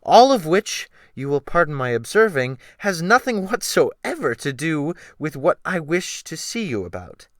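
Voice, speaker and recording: A man voicing a flustered, indignant gentleman castaway with a prim, protesting manner in a dramatic audiobook. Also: a little high, big pitch swings, a little slow, few pauses, dry recording, pitched above the performer's own voice. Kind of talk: dramatic audiobook character acting.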